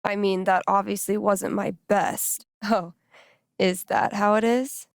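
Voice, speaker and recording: Young female game character: wry, playful friend and DJ type, casual delivery, mid-pitched with some fry, close dry recording. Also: fairly even intonation, slow pace, no room sound. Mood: playful, teasing. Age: young adult. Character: wry young woman, teasing, loyal friend and radio DJ. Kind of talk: naturalistic video game dialogue acting.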